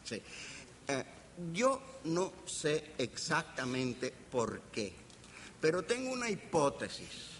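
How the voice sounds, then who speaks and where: An older man with a Caribbean Spanish accent gives an anecdotal lecture as a professor, deliberate, pausing and playfully humorous. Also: fairly high pitch, huge pitch swings, a bit bright. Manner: deliberate, with pauses and playful humour. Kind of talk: anecdotal academic lecture to an audience. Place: lecture hall. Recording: reverberant hall, background hiss.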